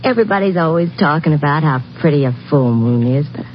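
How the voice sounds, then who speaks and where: An actress playing a sweet, easily alarmed young college girl in a 1950s radio drama, with a girlish, expressive manner. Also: deep voice, fast talker. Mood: chatty, light. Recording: muffled, faintly noisy old radio broadcast.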